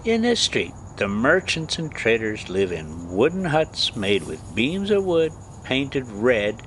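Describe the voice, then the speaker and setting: Slow, expressive man reading a story. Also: mid-range voice, a little hiss or hum, poor audio. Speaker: storyteller reading a classic novel aloud. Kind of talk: scripted audiobook-style narration.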